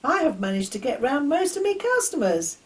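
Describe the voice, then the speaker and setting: A woman's voice: sits high, very animated, fairly quick, voice pushed up. A woman voicing a hearty, amused village postman for a children's story, with folksy, proud, colloquial speech.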